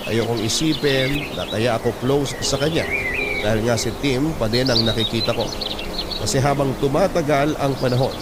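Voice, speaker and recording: A man with a Filipino accent reading a letter as a radio host, in measured, heartfelt, dramatic narration. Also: medium pitch. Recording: loud music under the voice.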